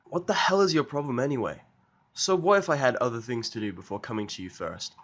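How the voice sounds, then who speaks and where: A man's voice: mid-range voice, expressive, fast, fairly bright tone, pitched above the performer's own voice. A man playing an irritable, sarcastic, foul-mouthed human in a fan fiction audio drama, blunt and impatient. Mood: impatient, irritated.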